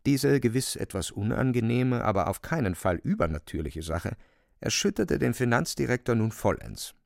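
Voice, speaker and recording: A man narrating a literary radio drama with measured, dramatic storytelling. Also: mid-range voice, very animated, creaky, no room sound, studio quality.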